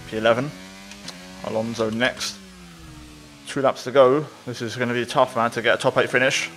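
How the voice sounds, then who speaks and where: A man's voice: medium pitch, steady tone, normal speed, fairly bright tone, slight background noise. A man giving casual, running commentary as he plays a racing game. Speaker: gaming video creator.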